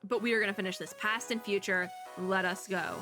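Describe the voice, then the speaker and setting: A young woman with a hoarse, worn voice, giving giggly, chatty commentary on a story game and reading its text aloud. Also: medium pitch, steady tone, a little fast, over loud music. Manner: giggly, chatty, cheers on characters. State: hoarse, sore throat. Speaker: VTuber.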